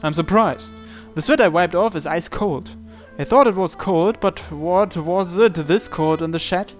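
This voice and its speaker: A man's voice: sits high, measured pace, faint music behind. A man narrating a visual novel in the first person, reading from the script.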